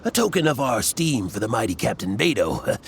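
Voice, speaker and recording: A man voicing a shifty treasure-thief foreman in a video game, rough and wheedling, with music behind him. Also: on the low side, sing-song, normal speed, slight background noise. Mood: flustered, flattering. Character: shifty, pleading male treasure-hoarder foreman. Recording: background music under the voice.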